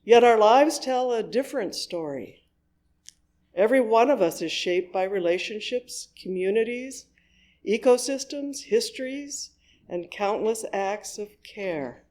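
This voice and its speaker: A worship leader reading a scripted service in a measured, deliberate way with pauses between phrases. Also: medium pitch, lively intonation, darkish tone.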